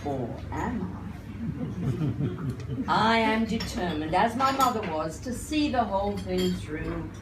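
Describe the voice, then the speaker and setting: An older woman reciting poetry live, with theatrical, wry and deliberate phrasing. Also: mid-range voice, lively intonation. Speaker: performance poet and singer. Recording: roomy, noisy live recording, distant mic.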